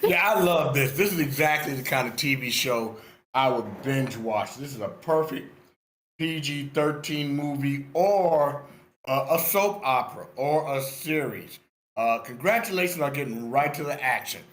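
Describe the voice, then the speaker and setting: Older man, bright voice, roomy echo. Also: medium pitch, animated, a little slow. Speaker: script feedback participant. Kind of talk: casual spoken critique. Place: indoors on an online meeting call. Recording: roomy echoing online meeting audio.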